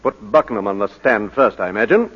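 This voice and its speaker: An actor playing a measured, probing defence barrister in a 1940s radio drama, deliberate and pointed. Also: male voice, fairly low pitch, lively intonation, slightly rough. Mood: concerned, firm. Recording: muffled old 1940s radio recording.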